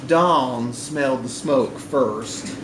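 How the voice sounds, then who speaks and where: A man reading literary fiction aloud to a live audience in a bar, with an expressive, theatrical delivery. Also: mid-range voice, extremely slow. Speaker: story reader at a live literary event. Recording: echoey live room recording with background noise.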